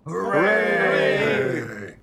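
A man's voice: high-pitched, big pitch swings, extremely slow, creaky, heavy background noise, heavily acted. A man playing a rowdy, sentimental pirate crony in a theatrical radio comedy. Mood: boisterous cheering.

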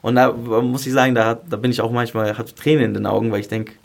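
A man's voice: mid-range voice, fairly even intonation, fast, creaky. A man in his early twenties from Greece and southern Germany, chatting candidly as a podcast guest in casual, youthful, emphatic language. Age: early 20s. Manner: candid, youthful colloquial phrasing, emphatic repetition. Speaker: podcast guest, singer and song contest contestant. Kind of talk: casual interview chat.

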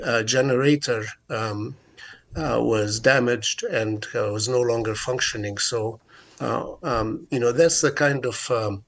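A mature man with a Palestinian Arabic accent, giving an unscripted account in a radio interview in a halting, deliberate way with many hesitations. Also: medium pitch, a bit bright. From Jerusalem, Palestine. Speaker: interview guest, advocate.

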